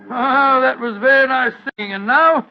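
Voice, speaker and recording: A man's voice: very high voice, moderate pace, over loud music. A man playing a jovial older British doctor in avuncular, hesitant 1940s radio acting. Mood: jovial, fussing. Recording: muffled old radio recording.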